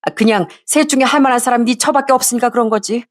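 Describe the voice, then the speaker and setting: A woman voicing a bossy older mother-in-law who nags and yells at her daughter-in-law. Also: a little high, fast, pitched above the performer's own voice, close to an everyday voice. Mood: scolding. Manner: sharp, nagging, raises voice to yell.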